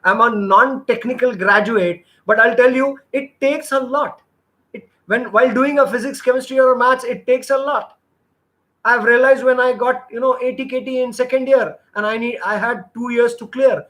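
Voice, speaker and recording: Man, interview trainer, high voice, earnest, rambling, restarts a lot. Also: bright, forceful tone, low-quality recording. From India. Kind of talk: live coaching session.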